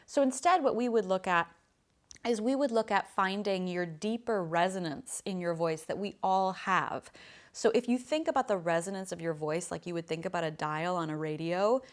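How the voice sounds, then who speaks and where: A woman's voice: on the low side, moderate pace. A woman voice coach explaining a question in a friendly, thoughtful and plain-spoken way. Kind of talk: casual explanatory lesson to camera.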